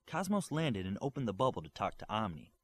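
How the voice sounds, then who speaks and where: A man reading a superhero novel aloud as its narrator, with an expressive delivery. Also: on the low side, fairly quick, slightly rough. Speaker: audiobook reader. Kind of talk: audiobook narration.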